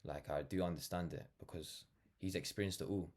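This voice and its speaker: A young man from London with a notably low voice. He talks off the cuff in a reflective, earnest monologue. His delivery rambles, leaning on "like" and "you know" as he goes. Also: fairly even intonation, brisk, darkish tone. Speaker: vlogger, brand founder. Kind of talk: casual reflective monologue to camera.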